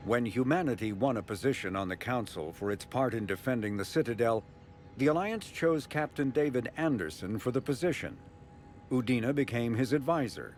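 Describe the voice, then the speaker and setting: A man reading scripted encyclopedic narration in a formal, measured, informative manner. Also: mid-range voice, lively intonation, a little hiss or hum. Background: faint music.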